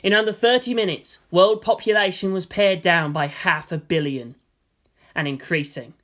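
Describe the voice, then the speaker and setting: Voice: medium pitch, fairly even intonation, moderate pace, fairly bright tone. A narrator reading fan fiction aloud as scripted drama, with a theatrical, solemn delivery. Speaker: story narrator.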